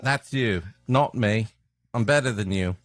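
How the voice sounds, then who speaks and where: A man's voice: on the low side, measured pace, no room sound, clean studio sound. A man co-hosting a comedy podcast with sardonic, wisecracking banter. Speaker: podcast co-host, interrupting commentator. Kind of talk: comic banter.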